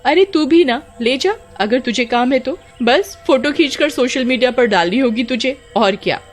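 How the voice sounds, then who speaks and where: A woman playing a teasing, easily frightened young village woman in animated horror story dialogue, exclamatory and dramatic. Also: sits high, normal speed, soft background music. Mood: teasing, worried.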